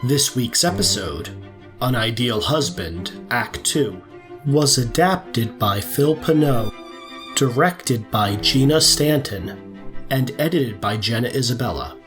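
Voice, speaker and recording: A man's voice: mid-range voice, animated, slow pace. A man reading scripted credits as the announcer of an audio drama series. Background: music with violin.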